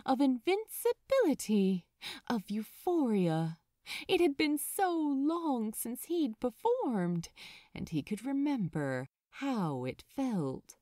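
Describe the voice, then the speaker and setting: A woman narrates a scripted story with dramatic, measured delivery. Her slightly high voice moves widely in pitch, and the recording is dry and close. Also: dark tone, dry recording. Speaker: audiobook narrator.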